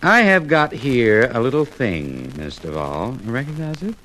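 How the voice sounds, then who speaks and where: An actor playing a slick, mocking, commanding blackmailer in a 1950s radio drama. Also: male voice, medium pitch, very animated, moderate pace, a bit bright. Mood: sly menace. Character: menacing male blackmailer holding a gun. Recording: noisy 1950s radio recording.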